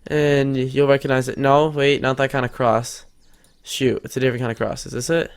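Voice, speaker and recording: A man's voice: medium pitch, flat delivery, moderate pace, clear, resonant tone. A teenage boy hosting a casual game tutorial, chatty and thinking aloud as he builds, his nose a little stuffed up. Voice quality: slightly congested. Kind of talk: casual screen-recorded tutorial.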